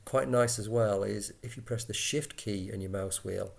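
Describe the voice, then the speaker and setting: A man giving an informal software walkthrough, explaining step by step in a conversational way as an instructor. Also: on the low side, normal speed, gravelly.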